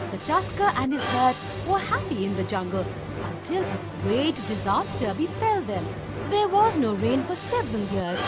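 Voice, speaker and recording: Casting: woman narrator for kids' bedtime tales, lively intonation, expressive scripted read over music. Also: high voice. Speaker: children's story narrator.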